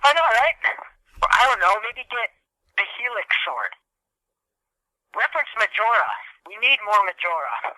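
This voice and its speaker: Bright, high-voiced young man ranting. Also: sing-song, normal speed, pauses often, dry recording, low-quality recording. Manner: exasperated, hyperbolic, profane ranting. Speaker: podcast co-host. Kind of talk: casual comedic ranting on a podcast.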